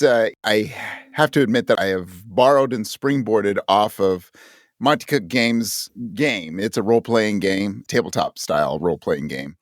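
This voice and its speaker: Low-voiced man, close and dry. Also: no room sound. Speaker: podcast guest, audio drama creator. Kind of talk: reflective interview talk.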